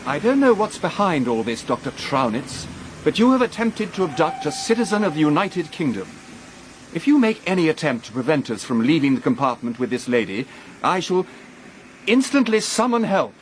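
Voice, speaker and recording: Pompous professor, highish voice. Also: male voice, sing-song, moderate pace. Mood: indignant. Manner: formal, pompous phrasing. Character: pompous, indignant English professor. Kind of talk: radio drama acting. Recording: faint train noise behind.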